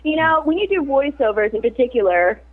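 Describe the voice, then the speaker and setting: A woman's voice: sits high, expressive, brisk. A woman actress in a casual interview, talking animatedly and laughing often. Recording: tinny phone-like line.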